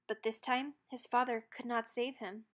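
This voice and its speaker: High-voiced woman reading a storybook aloud. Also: fairly even intonation, normal speed, fairly bright tone. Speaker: children's book read-aloud narrator. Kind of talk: expressive storybook narration.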